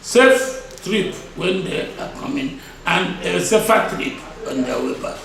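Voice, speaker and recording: A man of about seventy with a Nigerian Igbo accent, answering interview questions as a chief in a deliberate, emphatic way, repeating his phrases. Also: high voice, lively intonation, gravelly, a bit bright. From Nigeria (Igboland). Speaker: traditional title chief being interviewed. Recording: echoey, distant mic, crowd noise behind.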